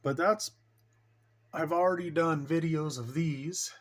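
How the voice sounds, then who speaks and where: A man's voice: fairly high pitch, slow pace. Middle-aged man, rambling and casual. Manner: rambling, informal enthusiast. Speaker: hobbyist vlogger showing his radio gear. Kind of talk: casual show-and-tell vlog.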